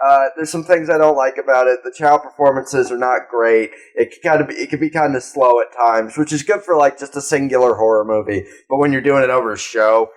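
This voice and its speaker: A man's voice: medium pitch, brisk, few pauses. A young man co-hosting a podcast, chatting casually in a laid-back, rambling way with lots of swearing. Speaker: podcast co-host. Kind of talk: casual chat.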